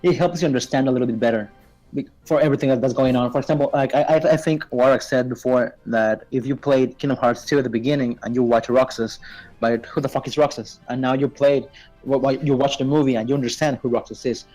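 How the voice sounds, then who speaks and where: A man's voice: mid-range voice, steady tone, fast, darkish tone, low-quality recording. A man casually explaining game lore as a guest, stammering and repeating words as he goes. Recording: faint music behind the voice.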